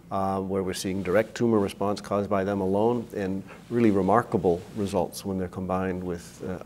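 A man's voice: fairly low pitch, darkish tone, a little hiss or hum. A middle-aged man, giving expert answers in a medical panel interview. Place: indoor conference interview set.

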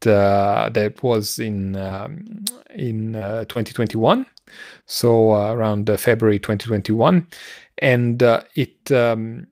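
A middle-aged man with a gravelly voice, co-hosting a casual science news discussion in a halting way, searching for words. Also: fairly low pitch, expressive, darkish tone, dry recording, studio quality. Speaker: podcast co-host. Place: home room, video call. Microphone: headset on a video call.

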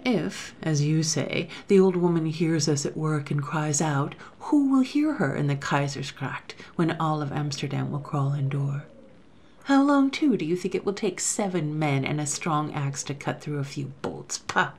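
A woman's voice: sits low, expressive, moderate pace, slight background noise. A woman playing a coolly sneering male robber. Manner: soft, sneering, coolly contemptuous. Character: cold, sneering male robber plotting murder.

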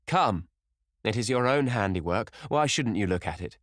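A man voicing a proud, insolent young man who speaks in a hard, clear, taunting way. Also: fairly low pitch, expressive, normal speed, fairly bright tone, no echo, clean studio sound, voice pushed up.

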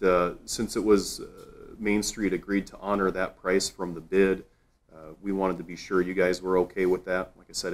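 A man speaking as a city official, giving an informal, plain-spoken and reassuring update in a meeting room. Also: sits low. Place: council meeting room, indoors.

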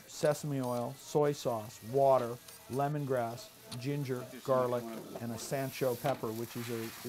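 A man's voice: mid-range voice, darkish tone. A middle-aged man from Hawaii with an American accent, presenting casually as a chef to camera. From Hawaii, United States. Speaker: chef and restaurant owner. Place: outdoors, open yard and covered grill deck. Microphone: lapel microphone.

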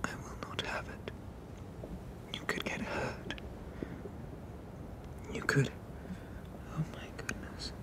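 A man voicing a protective, possessive sea monster father in a hushed, soothing ASMR roleplay with formal phrasing. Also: slow, pauses often, natural voice. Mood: pleading, possessive.

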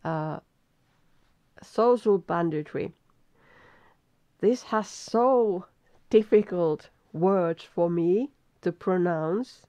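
A tired middle-aged woman with a Finnish accent reads aloud slowly from her desk, stumbling and restarting on hard English words. Her voice sits a little low, and the webcam audio is dull and lo-fi. The room sounds dry and close. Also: dark tone, dry recording. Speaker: vlogger reading aloud. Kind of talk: casual self-recorded reading practice.

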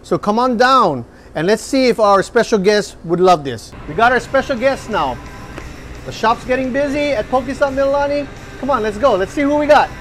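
A chef in his forties talks viewers through his recipes in a restaurant kitchen. His voice sits high, and he keeps an upbeat, hyped-up tone. Faint music plays underneath. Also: expressive, normal speed. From Hawaii. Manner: upbeat, hypes the food to viewers. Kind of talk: cooking demonstration.

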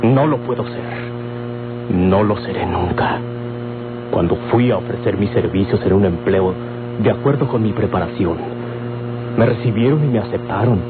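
An actor with a Mexican accent playing the bitter, tormented son of a bandit in an old radio drama, impassioned and melodramatic. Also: male voice, fairly low pitch, moderate pace, pauses often, noisy background, natural voice. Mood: bitter, resigned. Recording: muffled vintage radio recording, loud music.

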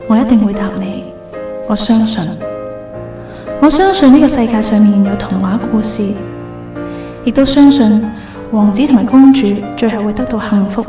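An actress playing a wistful young woman reading out a farewell confession in a radio drama, gentle, reflective and sorrowful. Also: a little high, moderate pace, long pauses, natural voice. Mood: sad, grateful. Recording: roomy, distant voice over music. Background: music.

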